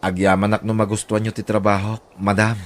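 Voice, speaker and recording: A man's voice: on the low side, flat delivery, brisk, a little hiss or hum, close to an everyday voice. An actor with an Ilocano accent playing a humble, hardworking middle-aged father in a radio drama. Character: humble, hardworking poor father and laundry worker.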